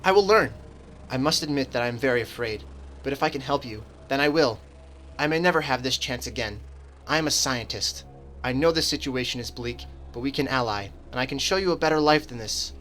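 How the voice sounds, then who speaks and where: A man's voice: medium pitch, a little fast, sharp tone, a little hiss or hum, lightly acted. A man playing an earnest, frightened scientist who pleads formally to be allowed to help, in a radio drama. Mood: earnest, afraid.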